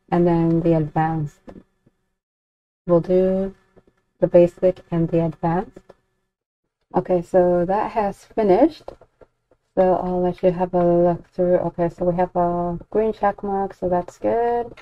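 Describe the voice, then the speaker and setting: A woman giving an unscripted software walkthrough as a tutorial presenter, informally thinking aloud with some hesitation. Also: lowish voice, no echo. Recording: dull, lo-fi, dry close recording.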